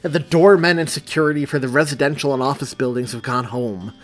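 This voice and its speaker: A man's voice: medium pitch, steady tone, quick pace, pitched above the performer's own voice, natural voice. A man voicing a snappy, panicked pregnant woman in labor in an audiobook, breathless and insistent. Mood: snappish. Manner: breathless, sharp-tongued, insistent.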